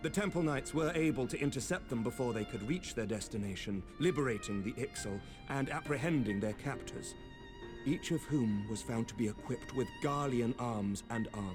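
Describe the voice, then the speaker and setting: Noble game statesman, grave and eloquent, lively intonation, bit of music under it. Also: medium pitch. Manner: formal, eloquent, deliberate. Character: dignified, grave male statesman of a fantasy realm. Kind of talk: fantasy video game voice acting. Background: orchestral game music.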